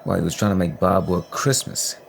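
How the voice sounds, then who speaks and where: A man playing a reluctant, folksy storyteller who narrates in a halting, informal way in an audio drama. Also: sits low, steady tone, creaky, slight background noise. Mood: hesitant, musing. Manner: halting, informal, plain-spoken. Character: reluctant, folksy male storyteller retelling a Christmas tale. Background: music.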